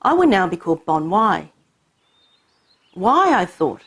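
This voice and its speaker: A woman raised in Hong Kong whose first language is Cantonese, telling her family's story in measured, reflective scripted narration. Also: lowish voice, very animated, fairly bright tone, breathy edge. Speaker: narrator of her own short film.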